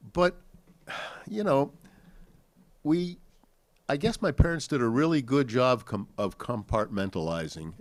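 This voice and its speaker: An older man with an American accent recounts family memories to an audience in a halting, deliberate, reflective way. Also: medium pitch, dry recording. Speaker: panel speaker sharing family recollections. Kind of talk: unscripted storytelling to an audience.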